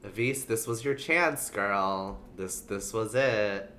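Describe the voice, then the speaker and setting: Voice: mid-range voice, takes it slowly, fairly bright tone, a little hiss or hum. A streamer giving casual live game commentary, rambling in playful asides to the characters.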